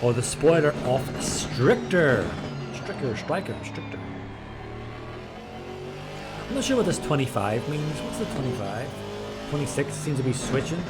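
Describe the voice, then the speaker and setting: A man giving chatty, excitable live commentary on an online race, stumbling over drivers' names. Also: medium pitch, moderate pace, over loud music. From Ireland. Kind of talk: live sim racing commentary.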